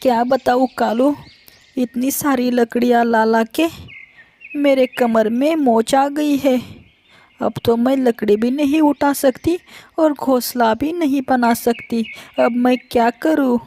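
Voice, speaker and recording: A woman's voice: sits high, fairly even intonation, slow, mellow, voice pushed up, exaggerated voice. A woman voicing a whining, self-pitying aunt bird who complains dramatically in a children's cartoon. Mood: wailing.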